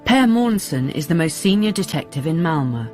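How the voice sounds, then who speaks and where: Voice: medium pitch, sing-song, normal speed, a little mellow. Radio drama narrator over prominent music.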